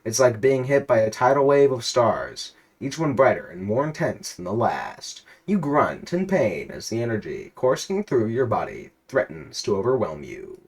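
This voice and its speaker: A man's voice: mid-range voice, very animated, moderate pace, hardly any pauses, husky, low-quality recording. A man narrating an absurd interactive story aloud in a theatrical, emphatic way. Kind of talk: dramatic read-aloud of absurd interactive fiction.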